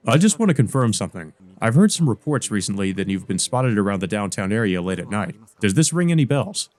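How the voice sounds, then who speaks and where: A man's voice: fairly low pitch, very animated, brisk, a little mellow. A man voicing a mild, courteous physics teacher with formal concern in a visual novel. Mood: mildly concerned. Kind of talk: read-aloud visual novel dialogue.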